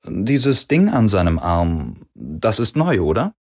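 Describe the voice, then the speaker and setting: A slyly genial antagonist, acted by a male narrator with a fairly low, husky voice. He sounds smug and sardonic, drawing out words as he probes, in the manner of an audiobook. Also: huge pitch swings, a little mellow, full character voice. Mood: probing, intrigued. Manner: sardonic, drawn-out, probing. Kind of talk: audiobook character voice.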